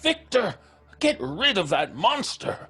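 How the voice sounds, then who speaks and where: An actor playing a genteel, moralising older professor in old-time radio horror style, husky, fussy and stammering. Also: male voice, high-pitched, sing-song, takes it slowly, bright, forceful tone, full character voice. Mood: horrified.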